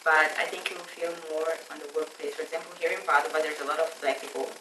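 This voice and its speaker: A woman's voice: deep voice, level tone, quick pace. A young woman from Mozambique, chatting casually as a guest, hesitant and full of "like" fillers. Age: young adult. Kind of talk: casual chat. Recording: echoey room, distant mic, noisy.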